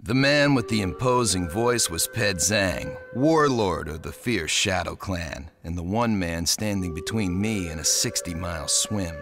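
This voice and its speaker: An actor playing a vengeful young navy lieutenant turned pirate, cocky and brooding, in a hard-boiled, wry video game style. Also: male voice, deep voice, animated, a little slow, few pauses. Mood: grim, wry. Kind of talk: video game cutscene narration and dialogue. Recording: music under the voice.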